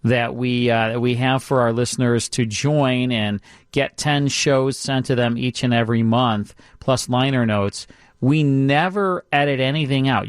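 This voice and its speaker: A man's voice: mid-range voice, no echo, studio quality. A man with an American accent hosting a radio show, chatting casually and promoting things. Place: radio studio, dry close sound.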